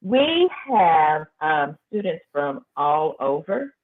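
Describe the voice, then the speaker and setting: A woman high school principal, talking firmly and emphatically in a casual interview. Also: sing-song, takes it slowly. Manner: emphatic, firm, repeats phrases for effect. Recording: tinny phone-like line.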